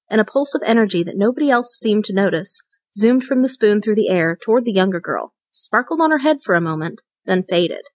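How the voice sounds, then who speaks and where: A woman's voice: mid-range voice, no echo. A woman reading a short story aloud as scripted narration. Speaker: story reader.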